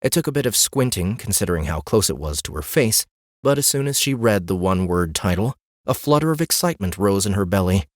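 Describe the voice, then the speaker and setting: A man's voice: on the low side, animated, a little fast, few pauses, no echo, studio quality. A man narrating a fantasy novel as an audiobook, reading scripted story prose.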